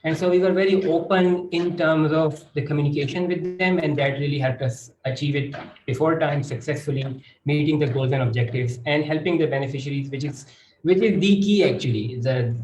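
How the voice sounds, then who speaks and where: A man's voice: on the high side, a little fast, darkish tone. A man explaining reflectively as a panelist, in emphatic short parallel phrases. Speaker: panelist, grant recipient. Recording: echoey room with faint noise.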